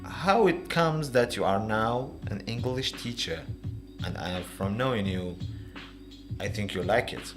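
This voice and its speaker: A man with an Algerian accent hosting a casual interview, halting as he searches for his words. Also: fairly low pitch, expressive, over music. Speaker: podcast host, interviewer.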